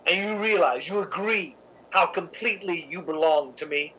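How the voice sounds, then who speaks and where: A woman's voice: on the low side, moderate pace, fairly bright tone, a little hiss or hum, lightly acted, poor audio. A woman playing a cold, cynical, domineering theatre columnist in a radio drama, speaking in an imperious, articulate way. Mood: controlling, cynical. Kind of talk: 1950s radio drama acting.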